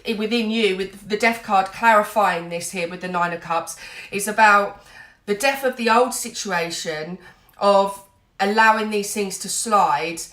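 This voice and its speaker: Woman, tarot reader, slightly snotty with a cold, mid-pitched, echoey room. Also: a bit bright. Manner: earnest, reassuring, with many "you know" fillers. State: has a cold, a bit snotty. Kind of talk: tarot reading vlog.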